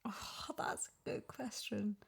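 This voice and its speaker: Reflective woman in casual discussion. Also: measured pace. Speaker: voice actor discussing her character.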